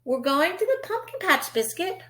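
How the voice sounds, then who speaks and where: A woman's voice: very high voice, big pitch swings, moderate pace, a bit bright, pitched above the performer's own voice, heavily acted. A woman voicing a cheerful little girl who talks to her puppy in a coaxing, sing-song way.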